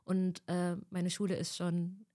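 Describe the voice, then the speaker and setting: A woman's voice: on the low side, monotone, a little slow, mellow. Young woman from Egypt, twenties, casual interview chat, fillers, laughs often. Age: 20s. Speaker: interviewee, student.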